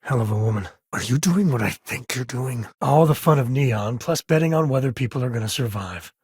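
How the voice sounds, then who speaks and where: An actor playing a wry, protective space-cowboy father in a video game, with a husky voice and a casual, folksy, sardonic way of talking. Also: mid-range voice, expressive, a little fast, darkish tone, dry recording, clean studio sound. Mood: wry, easygoing. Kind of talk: scripted game companion dialogue.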